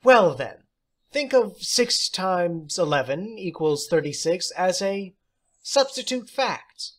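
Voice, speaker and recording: A man's voice: high-pitched, very animated, slow pace, voice pushed up, full character voice. A man voicing an eccentric, jolly woman substitute teacher in an audiobook story, precise, theatrical and condescending. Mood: airy, breezy.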